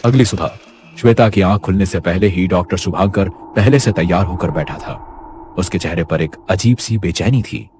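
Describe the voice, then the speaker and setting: A man's voice: deep voice, normal speed, darkish tone, slight background noise. A man narrating a scripted horror story as its storyteller.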